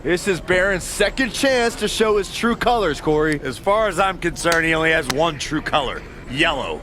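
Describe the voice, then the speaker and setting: A man's voice: a little high, expressive, normal speed, background noise, natural voice. A man playing a sardonic, scornful color commentator in a wrestling video game. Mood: mocking.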